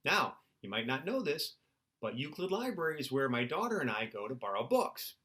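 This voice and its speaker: A middle-aged man speaks as an author at a children's storytime. His mid-pitched voice swings widely as he explains things in simple words. He often puts questions to his young listeners. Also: very animated, normal speed, sharp tone, breathy edge. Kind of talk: children's storytime talk and expressive picture book reading.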